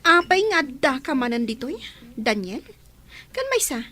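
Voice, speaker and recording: A woman's voice: high voice, big pitch swings, moderate pace, exaggerated voice. A young woman acting a headstrong student who talks back to her parents in an audio drama. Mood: scornful. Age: young adult. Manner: sharp, defiant. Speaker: student daughter.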